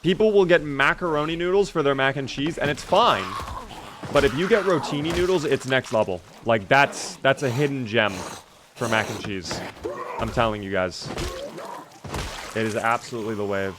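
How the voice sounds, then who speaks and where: A man's voice: medium pitch, normal speed, background noise. Chatty male streamer talking to chat. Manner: chatty, reads and answers chat while playing. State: voice recently strained. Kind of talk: casual live gameplay commentary.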